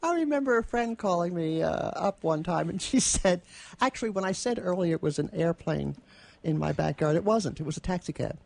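A woman's voice: on the low side, animated, brisk, vocal fry, a little mellow. A woman co-hosting a radio show, chatting casually and reading literary prose. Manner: chuckles often while telling anecdotes.

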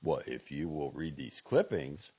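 A man's voice: a bass voice, expressive, unhurried, mellow, slightly rough, lo-fi sound, exaggerated voice. A man voicing a pompous old doctor in a storybook, haughty, pedantic and easily indignant. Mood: haughty, cool.

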